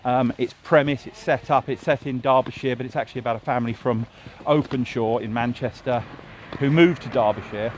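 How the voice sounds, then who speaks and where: A man's voice: medium pitch, steady tone, fairly quick. A man, a television drama writer, talking in a casual, thoughtful way with many fillers and restarts. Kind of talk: casual conversation. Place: outdoors by a road. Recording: faint street noise with passing traffic.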